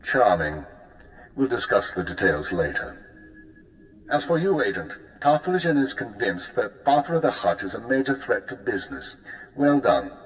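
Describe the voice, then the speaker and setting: A composed intelligence chief, voiced by a man, with a mid-range voice and formal, measured delivery. His tone stays dry and controlled. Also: medium pitch, slight background noise, close to an everyday voice, low-quality recording.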